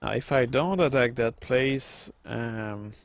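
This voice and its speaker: A man's voice: medium pitch, slow pace. A man in his thirties with a Quebec French accent, casually commenting on a strategy game and chatting with viewers, rambling with many fillers. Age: 30s. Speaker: gaming video host. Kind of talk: casual let's-play commentary.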